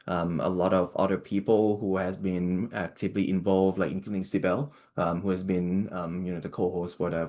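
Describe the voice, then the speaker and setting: A young man from Thailand, a postdoctoral researcher, giving a seminar talk in a halting way with many fillers and restarts. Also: deep voice, darkish tone.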